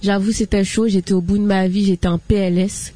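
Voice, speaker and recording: A woman's voice: mid-range voice, flat delivery, a little fast, warm tone, no echo. A woman talking casually on a radio show, slangy and dramatic in the way she puts things. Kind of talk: casual chat.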